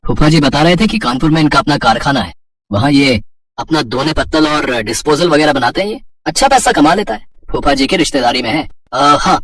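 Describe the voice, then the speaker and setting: A man's voice: fairly high pitch, animated, brisk, fairly bright tone, distant mic, slight background noise. A man voicing a teasing, doting middle-aged father in a Hindi cartoon story. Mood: upbeat. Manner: playful, teasing.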